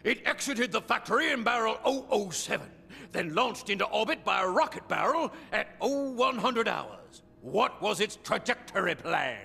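An actor voicing a bossy cartoon crocodile general, gravelly and militaristic, barking out commands. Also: male voice, sits high, sing-song, normal speed, pushed and bright, exaggerated voice. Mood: angry, barking. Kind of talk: cartoon voice acting.

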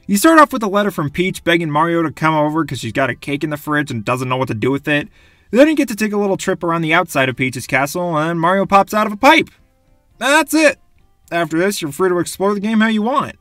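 A young man giving scripted, wry comic narration about video games in a casual, animated way. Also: a little high, fast talker, dry recording. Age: young adult. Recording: dry voice-over with music behind.

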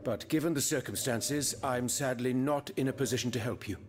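An actor playing a dry, indignant gentleman scientist in a video game, brusque yet courteous. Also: mid-range voice, fairly quick, lightly acted. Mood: incredulous, dry. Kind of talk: video game cutscene acting.

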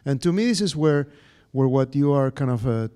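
Brief: man, Argentine accent, mellow dark voice, halting scholarly audience question. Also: mid-range voice, lively intonation, smooth. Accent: Argentine Spanish. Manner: halting, many fillers and restarts, academic. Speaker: audience member. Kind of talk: question from the floor.

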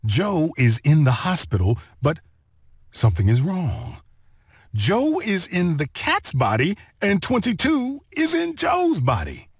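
A man narrating a children's read-along story in an expressive, deliberate storytelling manner. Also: a little high, dry recording, studio quality. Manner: expressive, deliberate storytelling delivery with clear phrasing.

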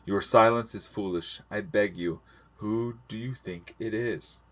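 A man voicing a patient old sailor from a storybook, pleading earnestly in a measured, formal, insistent way. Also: on the low side, natural voice.

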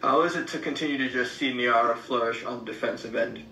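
A man's voice: medium pitch, fast, pushed and bright, a little hiss or hum. A man reporter asking a stats-heavy question at a press conference. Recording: echoey room, voice from far off.

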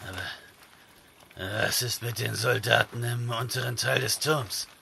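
Casting: grim, watchful barbarian, fantasy radio play, heavily gravelly, hard forceful edge, terse. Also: male voice, medium pitch, steady tone, slow, heavily acted. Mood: grim, resolved. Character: brooding young barbarian warrior, terse and watchful. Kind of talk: German fantasy audio drama acting. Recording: slight noise with ambient sound.

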